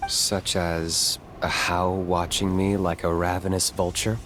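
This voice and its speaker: Cool, low-voiced young adventurer. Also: male voice, measured pace, very noisy. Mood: deadpan. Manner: deadpan, understated. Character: calm, dry, unflappable young male adventurer. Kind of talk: video game voice acting.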